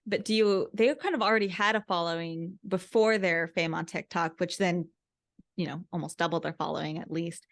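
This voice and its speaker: A woman's voice: fairly low pitch, fairly even intonation, normal speed, dry recording, clean studio sound. A woman hosting a conversational interview. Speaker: interview host.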